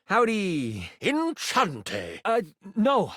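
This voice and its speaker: Wildly swooping, theatrical gaming sprite. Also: male voice, sits high, huge pitch swings, very slow, a bit bright, no room sound, clean studio sound, full character voice. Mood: playful, welcoming. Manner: theatrical, mock-archaic flourishes, exclamatory. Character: theatrical, playful tabletop-gaming dice character, flamboyant and flirty. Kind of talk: video game character voice lines.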